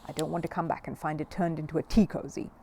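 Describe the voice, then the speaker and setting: Woman voicing a dry, mellow-toned brusque housekeeper. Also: deep voice, steady tone, quick pace, slight background noise. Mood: wary. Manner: dry, pointed. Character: brusque, sceptical female housekeeper.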